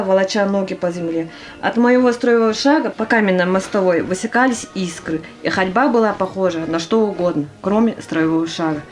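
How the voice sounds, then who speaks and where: A woman's voice: mid-range voice, a little mellow, close to an everyday voice. A woman in an audio play acting a tiny, clumsy and eager young female private. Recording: music under the voice.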